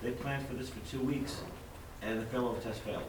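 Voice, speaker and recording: A man's voice: medium pitch, steady tone, brisk. A man chatting casually about technical work, often restarting his phrases. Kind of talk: casual discussion. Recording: noisy echoey hall, distant mic.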